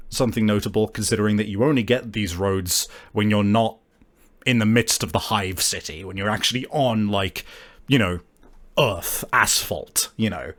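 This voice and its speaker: A man running a tabletop game as game master, narrating casually and searching for his words. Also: mid-range voice.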